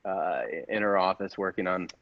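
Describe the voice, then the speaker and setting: A man casually setting up improvised comedy scenes, halting and repeating phrases as he goes. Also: low-pitched, steady tone, highs cut off.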